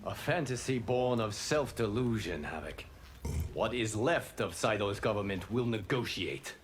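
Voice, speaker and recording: A man voicing a calm, resolute demigod in a video game, speaking gravely and formally. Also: fairly low pitch, a little slow, slight background noise. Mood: solemn, firm. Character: solemn, principled male demigod, calm and resolute. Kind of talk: video game character dialogue.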